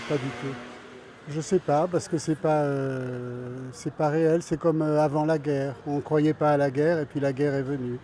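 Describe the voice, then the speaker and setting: A man's voice: fairly high pitch, warm tone. A man answering a street interview, hesitant and repeating himself. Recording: faint traffic noise.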